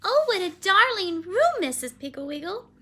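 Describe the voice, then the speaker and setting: A woman voicing a timid, easily frightened little girl in a children's story, with shrill, anxious exclamations. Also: big pitch swings, a little slow, pushed and bright, full character voice. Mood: curious.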